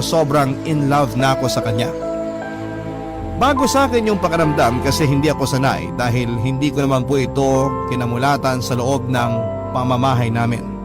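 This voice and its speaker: A man narrating a love-story letter in an earnest, polite, confessional way, in the manner of a radio drama. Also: mid-range voice. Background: music.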